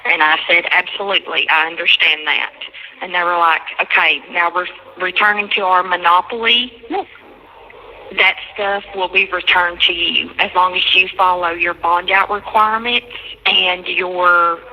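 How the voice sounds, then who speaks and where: A woman on a recorded jail phone call, recounting a conversation in a halting, repetitive way and quoting others at length. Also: on the low side, fairly even intonation, sharp tone, a little hiss or hum. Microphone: telephone handset.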